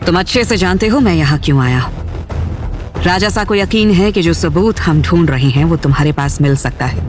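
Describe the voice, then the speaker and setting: Casting: female narrator as curt, sardonic male aristocrat, lowish voice, audio drama over music. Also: expressive, normal speed, natural voice. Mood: stern. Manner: cold, sardonic, commanding. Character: haughty young nobleman, curt, sardonic, commanding.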